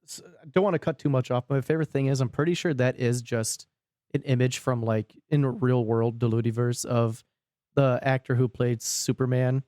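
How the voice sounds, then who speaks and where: A man's voice: mid-range voice, steady tone, normal speed, mellow, dry recording, studio quality. A man co-hosting a podcast, chatting casually. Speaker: podcast co-host.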